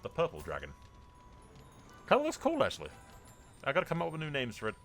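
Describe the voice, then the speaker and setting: A man's voice: a little high, wildly swooping pitch, quick pace, a bit bright, soft background music. A man hosting a gaming roleplay playthrough, commenting casually and irreverently with frequent swearing.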